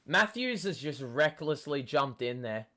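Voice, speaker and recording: A young man hosts a live basketball watchalong, his voice worn hoarse and cracking. He speaks slowly and a little high, swearing freely and bursting into yells when a play swings. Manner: excitable, swearing, shouts at big plays. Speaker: host.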